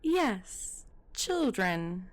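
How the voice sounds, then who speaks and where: An actress playing a polite, kindly hospital nurse in an audio roleplay. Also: female voice, mid-range voice, sing-song, very slow, full character voice. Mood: polite, gently cheerful.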